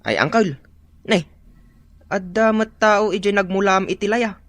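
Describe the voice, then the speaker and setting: A man's voice: sits high, a little slow, a bit bright, dry recording. An actor playing a timid man who reports to the old farmer in a hesitant, deferential way.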